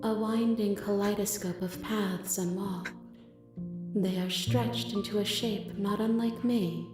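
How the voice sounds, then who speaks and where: A woman voicing a serene, ancient otherworldly being in a visual novel game, solemn and breathy. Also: mid-range voice, fairly even intonation, reverberant, over music. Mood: serene, grave. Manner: slow, solemn, cryptic. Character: vast, serene, ancient otherworldly female entity, enigmatic.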